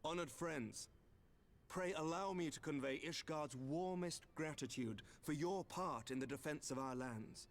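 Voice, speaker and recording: A man voicing a noble lord in a video game, giving a measured, formal speech of thanks. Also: on the high side, a little hiss or hum, voice pushed up.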